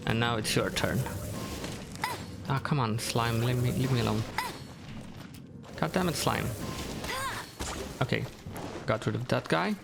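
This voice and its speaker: A man's voice: mid-range voice, measured pace, vocal fry, long pauses. A man giving casual, laid-back gameplay commentary as a streamer, muttering to himself and to game characters. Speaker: gaming streamer. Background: video game music.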